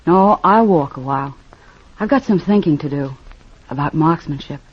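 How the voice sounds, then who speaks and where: Cool, thoughtful noir client. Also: female voice, deep voice, expressive, normal speed, breathy edge. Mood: subdued, thoughtful. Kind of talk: 1940s-50s radio noir acting. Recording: muffled, faintly noisy old radio recording.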